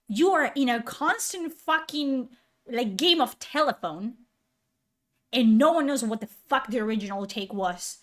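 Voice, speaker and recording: Woman streamer going off, sarcastic and sweary, kinda high voice. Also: fairly bright tone. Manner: heated, sarcastic, profane. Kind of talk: ranting stream commentary.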